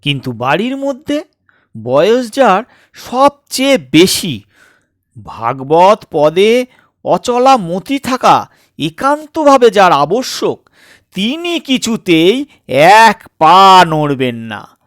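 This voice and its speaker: A man narrating a scripted comic story in a dramatic, theatrical way with suspenseful pauses. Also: high-pitched, big pitch swings, fairly bright tone, dry recording, studio quality. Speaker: storyteller and channel host.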